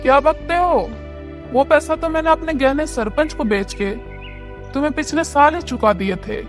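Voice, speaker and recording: A woman's voice: sits high, moderate pace, faint music behind, lightly acted. A woman voicing a wronged, pious, indignant widowed mother in a cartoon village story. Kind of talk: cartoon moral story dialogue.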